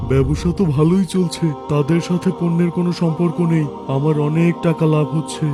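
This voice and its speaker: A greedy, dismissive cartoon shopkeeper uncle, acted by a man, talks briskly in a slightly high male voice. Music plays clearly underneath. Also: fairly even intonation, lightly acted. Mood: dismissive, smug. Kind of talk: cartoon dialogue.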